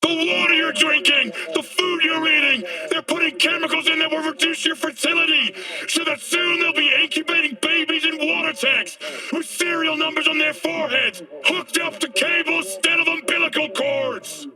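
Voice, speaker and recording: A fiery, paranoid male street agitator from a video game, with a very high, piercingly forceful voice. He harangues like an anti-corporate doomsday prophet, slow and declamatory, leaning hard on his words. The voice sounds set back from the microphone. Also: recorded from a distance, soft background music, full character voice, rough recording. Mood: alarmed, accusing. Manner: declamatory, haranguing, drawn-out emphasis. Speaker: street agitator, conspiracy preacher. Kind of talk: ranting protest speech, video game acting.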